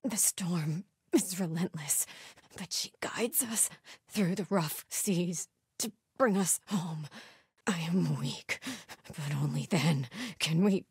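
A woman's voice: lowish voice, takes it slowly, darkish tone, husky, no room sound, clean studio sound, heavily acted. A woman playing a zealous, fierce female cult warrior in a video game, with clipped, intense and devout delivery. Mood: low, menacing. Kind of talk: video game combat barks and prayers.

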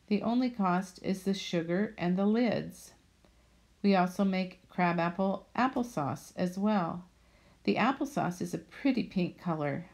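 A woman narrating a voice-over about her home garden in a steady, plainly read manner. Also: lowish voice. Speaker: budget vlogger.

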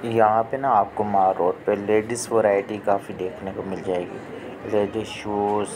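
Low-voiced man explaining a market. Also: little pitch movement, unhurried. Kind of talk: casual guide talk. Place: busy street market outdoors at night. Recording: busy crowd noise behind.

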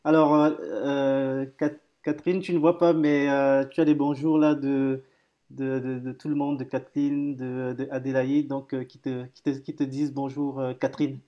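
A man's voice: medium pitch, level tone, normal speed, muffled recording. A man in his thirties hosting a live video call, cheerful and hesitant, stumbling over repeated words and fillers. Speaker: host. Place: home office room.

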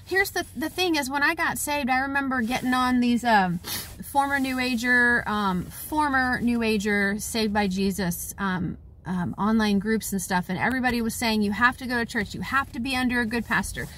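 Woman in her 40s, phone vlog from her car, tired, earnest, rambling, slightly high voice. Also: normal speed, fairly bright tone. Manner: rambling, heartfelt, many restarts and fillers. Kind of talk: casual talk to camera. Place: parked car interior. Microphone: phone at arm's length.

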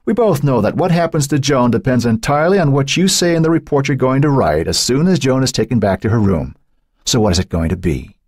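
A man reading the part of a cold, sly woman who is a hidden second personality, speaking in a husky voice. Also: mid-range voice, big pitch swings, fairly quick, a little mellow, dry recording, studio quality, voice pushed up. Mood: taunting. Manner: taunting, sly, icy.

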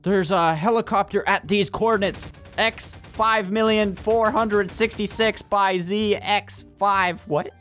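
A man's voice: high voice, steady tone, slow, few pauses, fairly bright tone. A young man chatting casually and playfully with friends during gameplay, often exclaiming, on a close microphone. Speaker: gaming video player. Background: background music.

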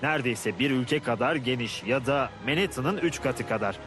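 A man's voice: medium pitch, moderate pace, over music, natural voice. A man voicing a boastful, hot-tempered fishing captain in a dubbed educational cartoon, brash and blustering.

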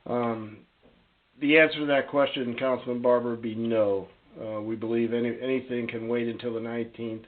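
A man's voice: medium pitch, little pitch movement, moderate pace. A man giving a formal, deferential report as a town official on a conference call. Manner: deferential, courteous. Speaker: town staff official presenting to council. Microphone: telephone. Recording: tinny phone line with faint noise.

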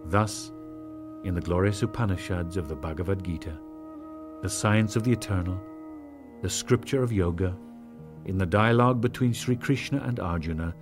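A man narrating sacred scripture as a dramatic reading, solemn and declamatory, in measured phrases with deliberate pauses. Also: deep voice, music in the background. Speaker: audiobook narrator.

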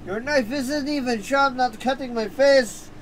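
A man voices a hapless, dim-witted man who keeps getting stabbed, whining in a put-on Mexican accent. He speaks very high for a man and drags out his words slowly. The protests come out plaintive rather than angry. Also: slight background noise. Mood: whiny. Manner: whiny protests, slow drawl. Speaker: co-player. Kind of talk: improvised comedy over gameplay.

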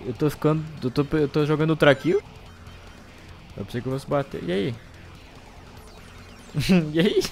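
A Brazilian man doing casual, exasperated gameplay commentary, excitable and full of swearing. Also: fairly high pitch, moderate pace, gravelly, long pauses, soft background music. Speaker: gaming video host.

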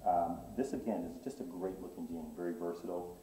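A man's voice: deep voice, fast, warm tone, distant mic. A middle-aged man who owns a clothing boutique, showing off items with eager salesman talk full of fillers. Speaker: boutique owner. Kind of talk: product walkthrough in interview. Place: menswear boutique, indoors. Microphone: far camera. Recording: echoey shop sound from off-mic.

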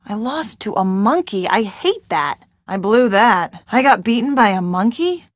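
An actress playing a wry, peppy young woman in an adventure game, expressive and colloquial, with an American accent. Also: mid-range voice, a little slow, no room sound, clean studio sound. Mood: playful. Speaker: video game voice actor. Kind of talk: scripted adventure game dialogue.

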